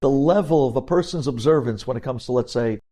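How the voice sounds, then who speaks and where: A man's voice: mid-range voice, fairly quick, warm tone. A man speaking as a rabbi giving a religious lecture, emphatic and direct, putting rhetorical questions to his listeners and using Hebrew religious terms. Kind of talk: sermon-like lecture with storytelling and acted-out dialogue.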